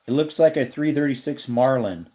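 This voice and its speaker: A man with a slightly raspy voice hosts a relaxed live stream. He rambles and thinks aloud as he answers his viewers' comments. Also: medium pitch, normal speed. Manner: rambling, thinks aloud while looking things up.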